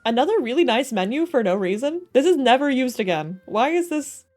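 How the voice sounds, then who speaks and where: A woman's voice: high voice, sing-song, a little fast, darkish tone. A woman doing casual live video game commentary, thinking aloud in a playful way. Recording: faint game music behind the voice. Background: game soundtrack.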